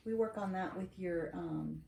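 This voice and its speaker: Storyteller: middle-aged woman, soft-edged mellow tone, encouraging and chatty read-aloud for kids, roomy sound. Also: fairly low pitch, moderate pace, gravelly. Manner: encouraging, asks questions and checks understanding with "right?". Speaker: storyteller reading to grandchild. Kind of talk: children's picture-book read-aloud with chatty asides. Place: wood-shelved home study, indoors. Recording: roomy echo, faint noise, clicks.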